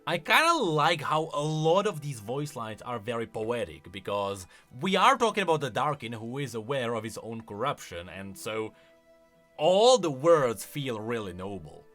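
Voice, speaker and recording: A man's voice: mid-range voice, big pitch swings, moderate pace, fairly bright tone, soft background music. A man hosting a video, giving casual, enthusiastic commentary on game lore and explaining as he goes. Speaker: video host.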